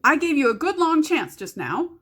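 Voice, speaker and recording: A woman's voice: high-pitched, big pitch swings, moderate pace, fairly bright tone, pitched above the performer's own voice. A woman voicing a small, frightened male hobbit trying to stay brave. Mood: tense.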